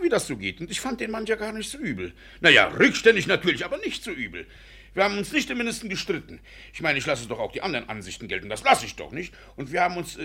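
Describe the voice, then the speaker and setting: An actor playing a middle-aged landowner father in a radio comedy, blustering and sentimental, with a raspy, spluttering delivery. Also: fairly high pitch, bright, forceful tone, full character voice. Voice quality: raspy, creaky. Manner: spluttering, wheedling, theatrically exasperated. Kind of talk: German radio comedy acting.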